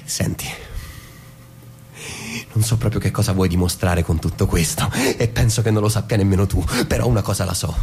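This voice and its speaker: A frustrated suburban husband in a radio play. His voice sits quite low, and he is defensive and self-righteous, repeating himself before he turns cutting. A faint rumbling noise lies behind him. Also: fairly even intonation, vocal fry, darkish tone. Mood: accusing. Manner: halting and repetitive, then cutting. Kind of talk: stage drama acting in an Italian radio broadcast.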